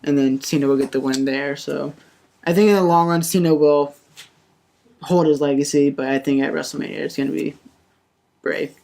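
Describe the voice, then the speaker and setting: Mid-pitched podcast panelist talking casually. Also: fairly even intonation, moderate pace, creaky, long pauses, darkish tone. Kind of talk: casual wrestling prediction talk.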